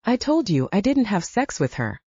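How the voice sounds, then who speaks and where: A woman's voice: mid-range voice, sing-song, moderate pace, mellow, husky, no echo, lo-fi sound. A woman voicing a defiant, defensive younger werewolf brother, a terse and stubborn lawman, in an audiobook. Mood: embarrassed, defensive.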